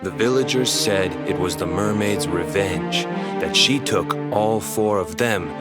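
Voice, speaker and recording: A man's voice: sits low, a little slow. An actor voicing an earnest young island fisherman in a video game, speaking in a plain, colloquial way. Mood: grave storytelling. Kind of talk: scripted video game voice acting. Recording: loud game music behind the voice. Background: loud music.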